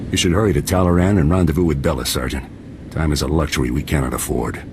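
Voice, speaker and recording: Casting: composed commanding officer in a sci-fi military game, rumbling bass, formal and authoritative. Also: male voice, fairly even intonation, quick pace, background noise. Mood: firm, serious. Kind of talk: video game voice acting.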